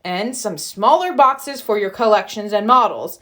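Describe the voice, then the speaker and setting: A woman's voice: medium pitch, animated, normal speed, a bit bright, close to an everyday voice. A woman voicing a practical, authoritative father bear who reasons firmly in a storybook. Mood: encouraging. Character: firm, practical father bear taking charge.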